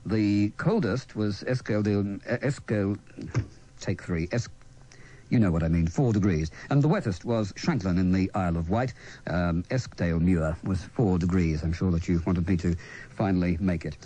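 A man's voice: low voice, moderate pace, warm tone. A man presenting a live weather report on the radio.

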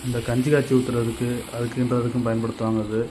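Man with a Tamil Nadu accent, carpenter, plain step-by-step explaining, monotone, workshop noise behind. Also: mid-range voice, fast talker. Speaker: carpenter teaching on his channel. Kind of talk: casual how-to narration.

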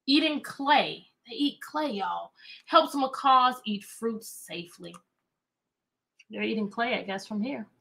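A middle-aged American woman reading aloud to children as a teacher, animated and chatty, often asking questions. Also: a little high, slow pace, gravelly. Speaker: teacher and read-aloud host. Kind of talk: interactive children's read-aloud lesson. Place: indoor room with bookshelves.

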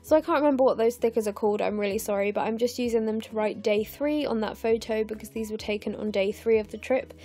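A young English woman with a croaky, tired voice talks through her scrapbooking at home late at night. She sits a little higher than most women and has a mellow, soft tone, rambling along with plenty of fillers. Faint music plays underneath. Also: fast talker, hardly any pauses. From England. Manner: chatty, rambling, frequent fillers. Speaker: scrapbooking vlogger. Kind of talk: casual voice-over narration of a craft process.

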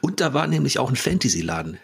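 A man in his forties hosting a podcast, asking questions in a relaxed, chatty way with frequent ums. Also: medium pitch, fast talker, creaky, husky. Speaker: podcast host. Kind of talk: casual interview conversation.

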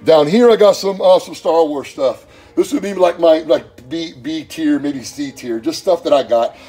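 A man in his forties giving a relaxed home tour vlog of his collection room, filmed on a handheld phone, talking in a loose, rambling way with lots of fillers and restarts. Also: on the high side, moderate pace. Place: home game and toy room, indoors. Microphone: handheld phone camera.

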